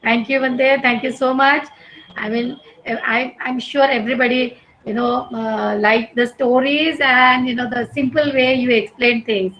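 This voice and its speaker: A woman's voice: on the high side, fairly even intonation, unhurried, fairly bright tone, poor audio. A woman conducting an interview, answering with brief encouraging acknowledgements and frequent laughter. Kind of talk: casual chat, brief replies.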